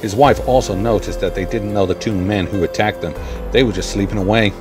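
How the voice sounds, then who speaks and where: A man's voice: fairly low pitch, brisk, natural voice. A man playing a weary, matter-of-fact police investigator in a horror comic dub. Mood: grim. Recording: music behind the voice.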